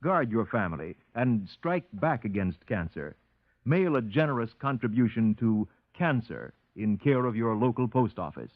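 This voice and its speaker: A man announcing formally in 1950s radio style, measured and authoritative. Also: medium pitch, big pitch swings. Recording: tinny, echoey 1950s radio recording.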